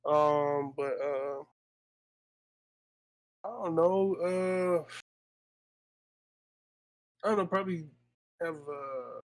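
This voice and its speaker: A man's voice: on the high side, drawn-out words, long pauses, no room sound, poor audio. A man in his late twenties, a podcast guest, speaking hesitantly with many ums and uhs in casual chat. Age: 20s.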